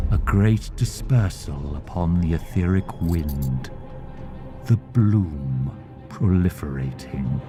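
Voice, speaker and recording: A grim male seer from a sci-fi game, with a very low voice. He intones prophecy at a crawling pace, ominous and incantatory, over clear background music. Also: heavily acted. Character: grim, portentous psychic seer reciting a plague vision. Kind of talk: slow solemn prophetic recitation.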